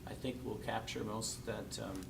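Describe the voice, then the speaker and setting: A man, a district administrator, explaining options to a school board in a measured way with many fillers. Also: fairly low pitch, fairly even intonation, gravelly, distant mic, background noise. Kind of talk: public meeting discussion. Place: school board meeting room.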